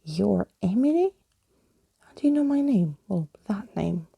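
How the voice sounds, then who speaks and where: A woman's voice: medium pitch, lively intonation, a little slow, creaky, dark tone, husky, dry recording, full character voice. A woman voicing a cross young girl in a storybook, blurting and scornful as she scolds. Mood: indignant. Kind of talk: acted storybook reading.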